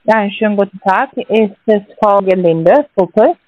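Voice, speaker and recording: A woman's voice: medium pitch, normal speed, no room sound. A woman calling as a sales agent over the phone, talking hesitantly with many filler sounds and non-native grammar. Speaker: sales caller. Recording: dry tinny phone line.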